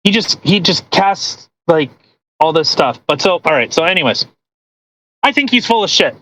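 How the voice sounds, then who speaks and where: A woman's voice: on the low side, expressive, moderate pace, a bit bright, a little hiss or hum, poor audio. A woman hosting a podcast, chatting casually in a rambling, incredulous and mocking way. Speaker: podcast host. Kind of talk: casual chat.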